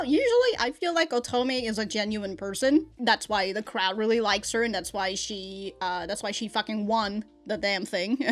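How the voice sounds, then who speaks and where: A woman giving a chatty, rambling review as a reaction host, with casual slang and swearing. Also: mid-range voice. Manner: profane, slangy, laughs often while talking.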